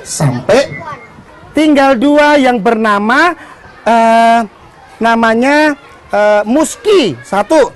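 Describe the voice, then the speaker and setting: High-voiced woman telling stories to a crowd. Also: lively intonation, very slow, pauses often. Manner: theatrical, calls out to audience. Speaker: children's storyteller. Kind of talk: live interactive storytelling. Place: live event before an audience of children. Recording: noisy live event with crowd.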